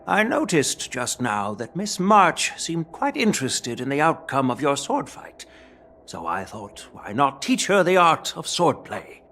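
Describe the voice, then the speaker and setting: Anime game elder general: courtly, encouraging, quietly sly, with an expressive, swinging delivery. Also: male voice, a little high, unhurried, full character voice. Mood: gentle, encouraging.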